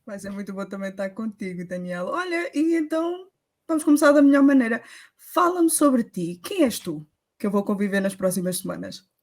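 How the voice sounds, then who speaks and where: A woman's voice: medium pitch, lively intonation, fairly quick, a little mellow. A woman with a European Portuguese accent, co-hosting a radio show, speaking carefully with hesitant fillers. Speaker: radio co-host. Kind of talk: casual radio chat.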